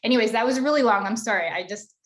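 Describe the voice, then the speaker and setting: A woman's voice: on the high side, fast talker. Chatty woman telling a rambling story. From Canada. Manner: rambling, self-deprecating, many restarts. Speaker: panelist. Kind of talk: casual storytelling on a video call panel.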